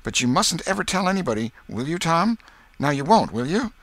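Man putting on a timid little schoolgirl, raised pitch. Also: big pitch swings, a little fast, no room sound. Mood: shy. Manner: timid, hesitant. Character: shy, sweet, naive little schoolgirl.

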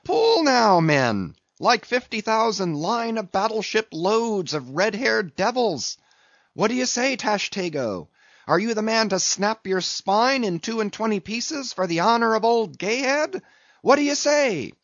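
A man's voice: medium pitch, very animated, measured pace, husky, no room sound, highs cut off. A man voicing a jocular, blustering whaleboat mate who heartily teases and goads his crew with questions, as an audiobook character. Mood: goading, blustering. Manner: hearty, teasing, rhetorical questions.

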